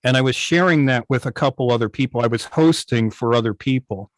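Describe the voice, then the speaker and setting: A man with a mid-pitched voice talks casually as an interview guest. He is halting and often searches for words, and the sound is dry with little room in it. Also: no room sound. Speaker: guest, internet old-time radio station operator. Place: indoors on a video call.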